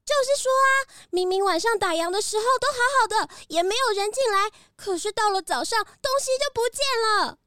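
A woman's voice: very high-pitched, animated, brisk, few pauses, a bit bright, no room sound, clean studio sound. A woman voicing a young rabbit shop clerk in a children's audio drama, childlike, frank and emphatic. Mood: puzzled.

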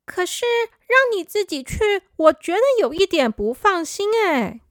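A woman voicing a caring big-sister girl in a children's story, gentle and a little worried. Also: extremely high pitch, sing-song, unhurried, dry recording, clean studio sound, pitched above the performer's own voice, heavily acted.

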